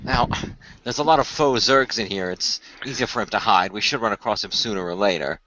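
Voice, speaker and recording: A man performing and narrating a semi-improvised radio play in a measured, explanatory way with formal phrasing. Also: on the low side, pushed and bright. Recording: dry, dull lo-fi microphone.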